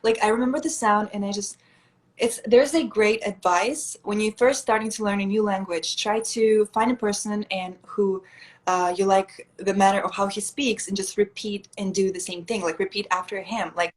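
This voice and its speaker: A woman's voice: medium pitch, fairly even intonation, normal speed. Chatty young woman. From Russia. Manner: chatty, leans on "like" a lot, laughs often. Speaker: guest on a live stream. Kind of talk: casual chat.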